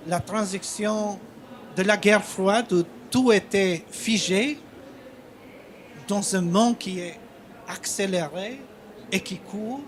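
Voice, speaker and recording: A man who is a native Albanian speaker, a writer talking on a conference panel in a halting, hesitant way with many repeated words. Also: high voice.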